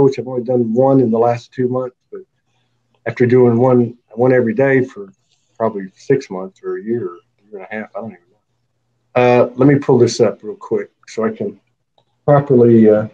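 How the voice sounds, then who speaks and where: A relaxed man hosts a live internet talk show. He talks in a loose, chatty way and laughs easily, and his voice sounds mellow and warm. The audio is a little dull and muffled. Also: medium pitch. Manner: loose, chatty, laughs often, reads out viewer names. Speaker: host.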